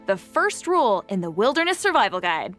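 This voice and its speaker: A woman's voice: medium pitch, big pitch swings, a little fast, fairly bright tone, soft background music. A woman playing a confident, friendly young female wilderness survival expert in a video game, speaking in a self-assured, instructive way. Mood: confident, welcoming. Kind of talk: English video game character acting.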